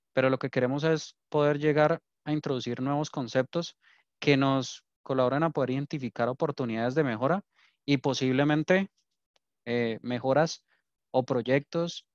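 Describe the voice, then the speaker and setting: A man's voice: mid-range voice, dry recording. Colombian guy from cali giving a technical webinar, checks in a lot, dry lo-fi meeting sound. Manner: explanatory, frequent "¿sí?" check-ins. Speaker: engineer and technical trainer. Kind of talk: webinar presentation. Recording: dull lo-fi online meeting audio.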